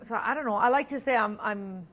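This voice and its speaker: A woman's voice: on the high side, a little fast, a bit bright. Chatty French-Canadian-born woman guest. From Alberta, Canada. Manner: chatty, many ums and restarts, laughs easily. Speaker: singer-songwriter guest. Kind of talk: casual interview chat.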